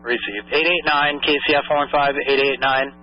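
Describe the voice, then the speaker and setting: Man, fire dispatcher, clipped procedural delivery, mid pitch, muffled two-way radio. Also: steady tone, normal speed, faint music behind. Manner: procedural, repeats messages back in clipped phrases.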